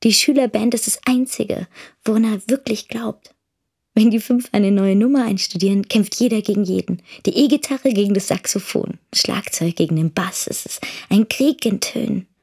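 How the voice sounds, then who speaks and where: Teenage girl, radio drama, wistful, confiding, breathy, gravelly edges. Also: a little high, a little mellow. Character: wistful teenage girl missing her boyfriend.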